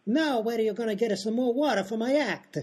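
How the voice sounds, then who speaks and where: A husky-voiced Italian vaudeville daredevil with a thick comic accent. He is boastful, indignant and forever complaining in broken English, in the manner of an old-time radio comedy. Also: very high-pitched, fast talker, a little mellow. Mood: exasperated, complaining.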